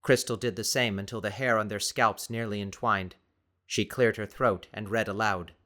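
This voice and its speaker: A man's voice: fairly low pitch, fairly even intonation, normal speed, pushed and bright. A man reading scripted fiction as a dramatic audiobook narrator.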